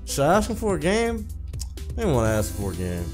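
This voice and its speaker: A man's voice: fairly high pitch, wildly swooping pitch, brisk, darkish tone, soft background music. A man casually commentating and reading a visual novel's text aloud as a let's player.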